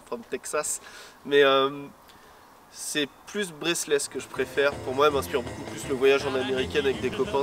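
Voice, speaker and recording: A man co-hosting a casual chat about cars and music, relaxed and joking, rambling with many hesitations. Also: mid-range voice. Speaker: co-host. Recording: distant mic with faint outdoor noise.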